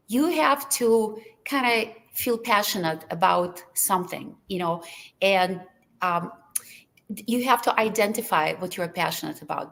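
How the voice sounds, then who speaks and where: A woman's voice: medium pitch, vocal fry, fairly bright tone. A Russian-speaking woman from Lithuania, talking earnestly on a webinar panel with many fillers and restarts over a video call. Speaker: panelist anesthesiologist. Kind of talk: webinar talk.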